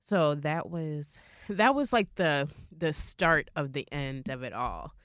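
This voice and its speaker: A woman's voice: sits low, animated, takes it slowly, no room sound, clean studio sound. A young adult woman co-hosting a podcast, talking candidly in a casual chat, blunt and swearing freely with lots of "like" fillers. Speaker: podcast co-host.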